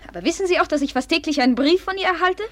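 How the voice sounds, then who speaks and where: An actress in a 1950s radio play playing a proud, impulsive young noblewoman who flares up imperiously and then drops to a hush. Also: very high-pitched, rapid-fire, no echo. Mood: jealous, accusing.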